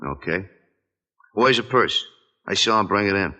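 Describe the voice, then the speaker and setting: A menacing American hoodlum in 1940s radio crime drama. His voice is low with a very hard, tense edge. The old recording is muffled and dull. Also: animated, moderate pace, sharp tone. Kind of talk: 1940s radio gangster acting.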